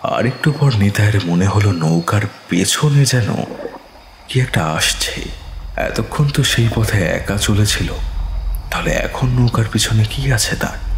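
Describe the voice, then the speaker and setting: A man narrating a scripted ghost story in a slow, suspenseful manner. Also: lowish voice, echoey room, slight background noise.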